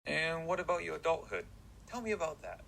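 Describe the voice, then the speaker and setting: A man playing a prison psychiatrist who asks measured, inquisitive questions in an audio drama. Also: fairly high pitch, very animated, creaky, fairly bright tone, slight background noise, full character voice. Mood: inquiring. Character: male prison psychiatrist, probing and polite. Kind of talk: fan audio drama acting.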